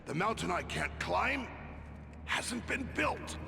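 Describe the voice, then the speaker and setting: An actor playing a gruff, stubborn dwarf warrior in a fantasy game, boastful and colloquial, with a rough gravelly voice. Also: male voice, very animated, slow, full character voice. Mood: gruff boasting. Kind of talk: epic fantasy game voice acting. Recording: long echoing reverb, rumbling noise.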